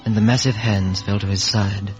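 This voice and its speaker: An actor playing an earnest, loyal young gentleman, speaking articulately in a 1950s radio drama. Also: lowish voice, flat delivery, moderate pace, over music, natural voice. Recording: faintly noisy old radio recording.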